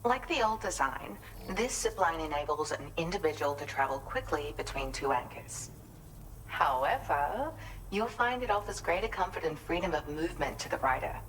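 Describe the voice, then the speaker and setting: Composed, low-pitched woman game ally. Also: animated, normal speed, fairly bright tone, a little hiss or hum, poor audio. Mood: friendly, explanatory. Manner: polite, articulate, explanatory. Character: kind, composed gifted woman prospector and inventor, grateful. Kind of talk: scripted video game cutscene dialogue.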